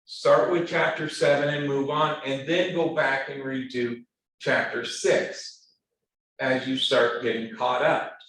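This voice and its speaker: A man lecturing a math class, speaking in a halting, earnest way with repeated words and many fillers. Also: mid-range voice, little pitch movement, a bit bright. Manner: earnest, pleading. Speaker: math instructor. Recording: very echoey classroom, distant mic.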